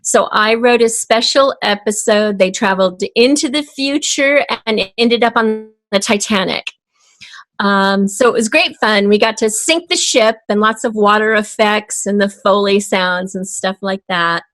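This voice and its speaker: A woman's voice: medium pitch, measured pace, a bit bright, no room sound. A woman speaking casually and explaining her work as an interview guest. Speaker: interview guest, audio drama writer.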